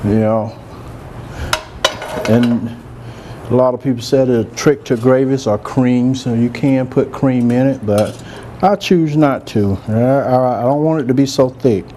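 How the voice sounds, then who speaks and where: A soft-spoken man, chatting relaxed as he hosts a cooking demonstration in a large room. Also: medium pitch, measured pace, a little hiss or hum. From Georgia, US. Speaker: cooking show host, chef. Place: large room with a live audience.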